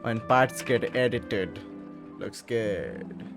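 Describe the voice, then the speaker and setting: A man's voice: on the low side, lively intonation, very slow, creaky. A man giving casual, slangy gameplay commentary and reacting as he plays. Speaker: gaming streamer. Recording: game music behind. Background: game music.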